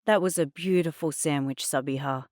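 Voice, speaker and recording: A woman's voice: sits low, normal speed, darkish tone, no echo, natural voice. A cheeky young man played by a woman narrator, smug and teasing. He sounds playful and mock-innocent in this storybook telling, close and dry. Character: smug, teasing, bargaining young male family friend. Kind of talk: dramatised audiobook dialogue.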